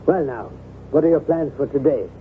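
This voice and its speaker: An actor playing a loyal, concerned gentleman in earnest, polite, theatrical old-time radio drama style. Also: on the high side, lively intonation, normal speed, natural voice. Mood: cordial, teasing. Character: genteel, concerned, loyal gentleman friend and cousin. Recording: tinny band-limited vintage radio, hissy.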